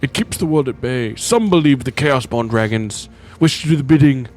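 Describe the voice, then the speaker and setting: A man acting as game master, narrating and speaking in character in halting phrases with pauses. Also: mid-range voice, lively intonation, darkish tone, soft background music.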